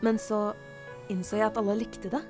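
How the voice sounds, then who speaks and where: A woman's voice: medium pitch, fairly even intonation, over music, natural voice. A woman playing a down-to-earth, dryly kind office worker in an anime fan dub. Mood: sincere.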